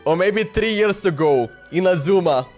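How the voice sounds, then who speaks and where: A man's voice: sits high, animated, moderate pace, darkish tone, soft background music. A man livestreaming a game, chatting casually and rambling as he thinks aloud and repeats himself. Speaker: game streamer.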